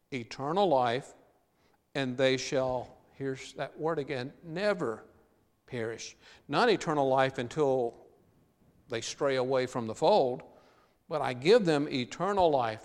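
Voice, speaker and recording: A male pastor delivers a sermon in a church, earnest in tone. He slows and stretches his key words to press a point home. His voice rings with the long reverb of a large sanctuary. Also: mid-range voice.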